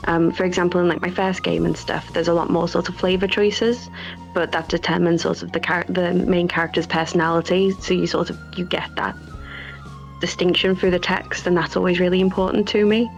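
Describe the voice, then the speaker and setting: A woman talks informally about her creative work in an interview, working out her answers aloud. Her voice sits a little low with plenty of vocal fry. The sound is a bit dull, with soft music underneath. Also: little pitch movement, fairly quick. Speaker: game developer.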